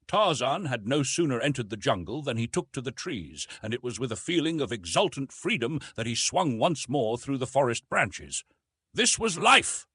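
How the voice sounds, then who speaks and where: A man's voice: mid-range voice, fairly bright tone, husky, no room sound, studio quality. A man reading literary narration from a script as an audiobook narrator.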